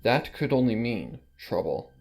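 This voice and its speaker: A man's voice: medium pitch, very animated, unhurried, darkish tone. A man reading scripted fiction as a storybook narrator.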